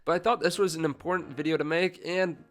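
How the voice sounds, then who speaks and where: A man's voice: fairly high pitch, fairly even intonation, quick pace. A congested young man in his twenties hosting a finance channel, talking casually to camera with many fillers and restarts. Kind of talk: casual direct-to-camera talk.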